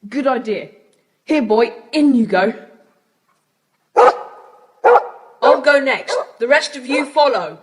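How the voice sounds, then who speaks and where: A woman's voice: sits high, lively intonation, measured pace, husky, full character voice. Confident boy leader, echoing, British. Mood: commanding, excited. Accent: British English. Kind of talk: children's audiobook drama acting. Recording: hissy cassette tape, long reverb.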